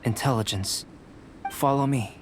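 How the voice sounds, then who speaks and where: A man playing a calm, cerebral young alchemist in an anime video game, speaking in a measured, formal, detached way. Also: mid-range voice, slight background noise, natural voice. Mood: reassuring. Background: game music.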